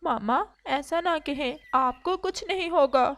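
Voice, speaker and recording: A woman's voice: sits high, measured pace, fairly bright tone. A woman voicing a small, anxious crow chick in a cartoon story, childlike and pleading. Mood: worried. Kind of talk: Hindi cartoon story acting.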